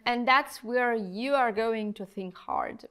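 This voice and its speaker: High-voiced young woman presenting reviews. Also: slow, fairly bright tone. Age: young adult. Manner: chatty, enthusiastic, emphatic. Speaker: presenter of software review videos. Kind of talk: conversational product review to camera. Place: small indoor studio room at a desk. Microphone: lapel mic.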